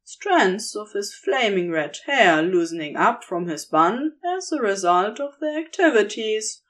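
Woman reading romance fanfic as narrator, mid pitch, really slow, lots of melody. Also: very animated, fairly bright tone. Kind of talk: scripted fan fiction narration, intimate romantic storytelling.

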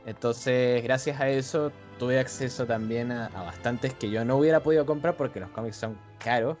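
A young man with an Argentine accent tells his stories in a loose, easygoing way, stopping often to hesitate and laugh. He talks at an ordinary middle pitch, and faint music plays behind him. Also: moderate pace. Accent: Argentine Spanish. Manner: relaxed storytelling with frequent hesitations and laughs. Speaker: podcast co-host. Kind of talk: casual chat.

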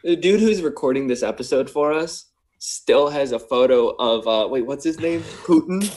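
A man with a Michigan accent co-hosting a casual podcast chat, excitable and laughing often. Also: medium pitch, normal speed. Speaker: podcast co-host.

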